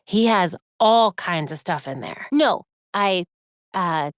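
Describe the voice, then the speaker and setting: A woman playing a sharp, determined young American vigilante heroine in a video game, with a wry, quippy delivery. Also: on the low side, lively intonation, slow pace, dry recording, studio quality. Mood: thoughtful. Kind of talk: acted video game voice lines.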